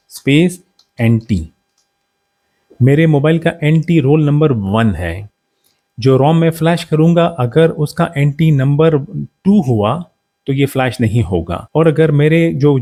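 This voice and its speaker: A man's voice: medium pitch, mellow. A man narrating a step-by-step how-to as a tech tutorial presenter, instructional, mixing English technical terms into his speech.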